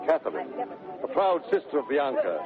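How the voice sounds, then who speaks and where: A man's voice: fairly high pitch, huge pitch swings, moderate pace, creaky. A man narrating a play in a dramatic, descriptive 1930s radio style. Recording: tinny 1930s radio broadcast. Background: music.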